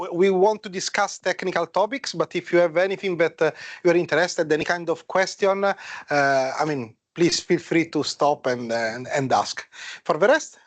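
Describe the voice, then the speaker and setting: A man's voice: high voice, no echo. A man with an Italian accent introducing himself to a meetup audience, hesitant and full of "uh" fillers. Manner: hesitant, many "uh" fillers and restarts. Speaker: guest speaker, solution engineer. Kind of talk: casual self-introduction at a meetup. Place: meetup room with a live audience.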